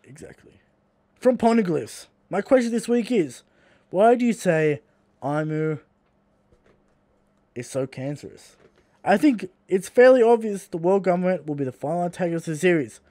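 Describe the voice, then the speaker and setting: A man's voice: sits high, expressive, moderate pace, long pauses, warm tone, no echo. A man with an Australian accent, co-hosting a podcast in casual chat, blunt and swearing freely as he rants. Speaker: podcast co-host.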